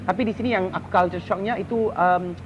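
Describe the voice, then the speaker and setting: A woman with a Malaysian accent chatting casually as a guest, animated and exclamatory, switching between two languages. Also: on the low side, brisk. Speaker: guest, TV host and event MC. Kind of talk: casual chat mixing Indonesian and English. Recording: muffled, noisy ambient background.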